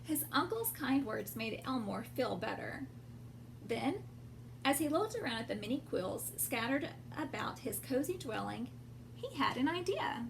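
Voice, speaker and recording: A woman reading a storybook aloud expressively to young children, pausing to explain things simply. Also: sits high, big pitch swings. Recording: clear background noise.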